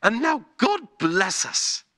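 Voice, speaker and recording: A man playing a gentle, cheerful, forgiving Victorian father and husband in a radio play, with a high, lilting delivery. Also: wildly swooping pitch, takes it slowly, full character voice. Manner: lilting, high-pitched swoops.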